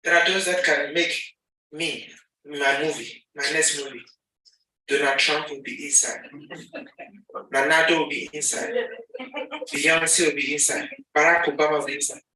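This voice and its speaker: Ghanaian man giving a lively talk, very bright tone, booming hall, mic set back. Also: normal speed, pauses often, slight background noise. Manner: animated, acts out dialogue. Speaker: speaker. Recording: echoey hall, mic at a distance.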